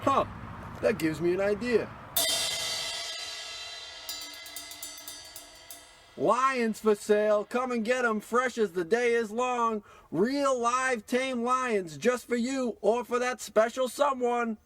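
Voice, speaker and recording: A man's voice: very high-pitched, unhurried, fairly bright tone, slight background noise. A man playing a brash, scheming small-time American huckster with slangy old-timey patter in a parody radio play. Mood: salesman patter.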